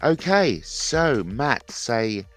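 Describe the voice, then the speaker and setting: A man's voice: medium pitch, very animated, very slow, fairly bright tone, soft background music. A man in his fifties hosting a rambling, jokey livestream chat, repeating himself and mentioning his speech impediment. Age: 50s. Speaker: livestream host.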